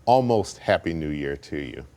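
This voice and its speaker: A man hosting a local television interview show, asking questions in a brisk, conversational way. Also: rumbling low, expressive, mellow, slight background noise. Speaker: TV talk show host. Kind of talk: broadcast interview.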